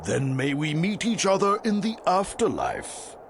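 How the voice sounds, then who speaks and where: A resolute dwarf warrior, slow and gravelly with very dramatic swings in pitch. He is stoic and declamatory, a brave fantasy game hero. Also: wildly swooping pitch, a little hiss or hum, full character voice. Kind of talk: fantasy game cutscene acting.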